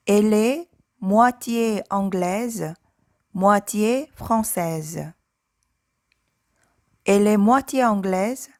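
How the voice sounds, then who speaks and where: Female language instructor, mid-pitched, for scripted drill sentences; careful, measured diction. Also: a little mellow. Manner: measured, careful enunciation with repeated phrases. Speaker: language teacher.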